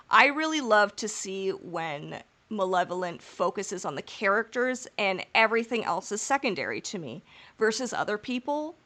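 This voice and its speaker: A woman's voice: mid-range voice, pushed and bright. A woman co-hosting a podcast in casual chat. She talks in long, rambling, candid stretches, swears freely and laughs through her own jokes. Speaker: podcast co-host.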